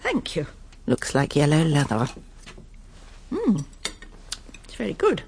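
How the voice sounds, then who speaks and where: An actress playing a prim, self-satisfied, controlling middle-aged English wife in a radio drama, brisk and genteel. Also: female voice, deep voice, wildly swooping pitch, background noise, exaggerated voice. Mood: brightly stoical. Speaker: lead actress and narrator. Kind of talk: radio drama acting with inner monologue.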